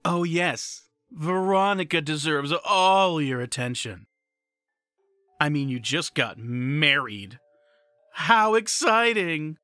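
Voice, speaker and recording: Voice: medium pitch, expressive, slow pace, bright, forceful tone, no echo. A performer voicing a scheming male villain who has taken over a child's body, speaking in a mocking, theatrical way in a fan audio drama.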